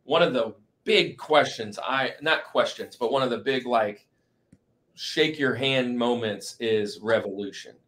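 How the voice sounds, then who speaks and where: A man's voice: mid-range voice, a little slow, fairly bright tone. A middle-aged man hosting a podcast in casual, enthusiastic, informal chat. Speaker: podcast host.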